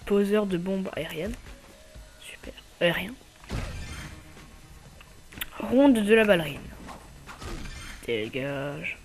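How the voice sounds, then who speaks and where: A man with a slight cold giving casual, hesitant commentary over his own gameplay as channel host. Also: high voice. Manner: hesitant, many filler pauses.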